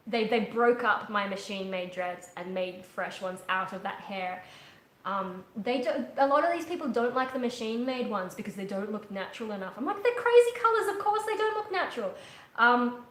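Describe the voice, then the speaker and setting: A young woman talks to her livestream viewers from a couch in her living room. She rambles and restarts often, with frequent ums, and her voice carries the echo of a roomy room picked up from a webcam a little way off. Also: fairly high pitch. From Australia. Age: young adult. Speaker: livestream host, metal singer. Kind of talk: casual live chat. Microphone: webcam at a distance.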